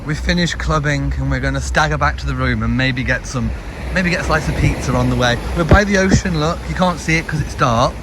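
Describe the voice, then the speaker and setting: A man's voice: fairly high pitch, fairly quick. A man hosting a casual vlog, chatting to viewers and narrating what is around him. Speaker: vlog host. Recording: noisy handheld vlog with crowd and street sounds.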